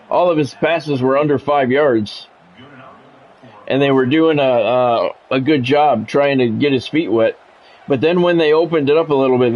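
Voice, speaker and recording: A man's voice: mid-range voice, darkish tone, dull audio, a little hiss or hum. A man doing casual sports game commentary, drifting between announcer-style play calls and conversational musing.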